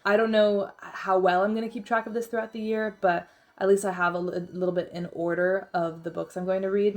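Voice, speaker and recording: A young woman chatting casually as she narrates her own vlog, self-deprecating and full of filler words. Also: medium pitch. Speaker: book vlogger.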